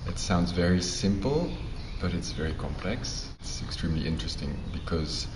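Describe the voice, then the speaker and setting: A man's voice: deep voice, expressive, normal speed. A man from the Netherlands, a theatre performer talking in a festival interview, thoughtful and hesitant with many fillers. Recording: echoey room, from far off, with background noise.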